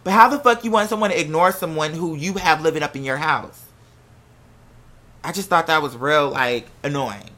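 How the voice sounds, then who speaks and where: An American podcast host telling a personal story casually, in an animated, candid and profanity-laced way. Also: mid-range voice, moderate pace. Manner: acts out quoted remarks while telling.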